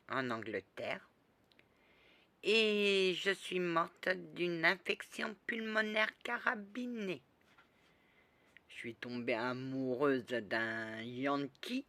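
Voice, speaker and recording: A woman telling a rambling, lively story in a casual spoken way, full of restarts and asides. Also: low voice, no room sound. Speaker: storyteller recounting past lives.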